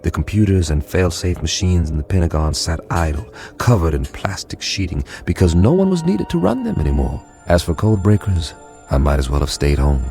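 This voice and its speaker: An actor playing a world-weary code breaker who narrates and talks with a dry, wry manner in a radio drama. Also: male voice, low-pitched, expressive, moderate pace, over music.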